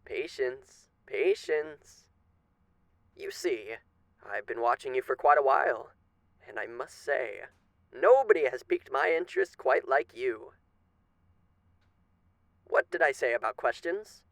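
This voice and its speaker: A courteous, menacing male demon of the radio for an ASMR roleplay. His mid-pitched voice has a creaky, gravelly edge. He draws out his phrases like a genteel showman, teasing and faintly threatening, in a very dry, intimate space. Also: animated, a bit bright, dry recording. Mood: teasing, patient menace. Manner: genteel, mock-polite, teasing, drawn-out theatrical phrasing. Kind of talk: theatrical ASMR roleplay monologue.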